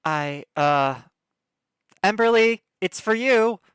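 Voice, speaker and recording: A woman's voice: medium pitch, expressive, slow pace, smooth, no room sound, clean studio sound. A woman playing a polite, awkward young apartment dweller in an audio drama, with a hesitant, natural delivery.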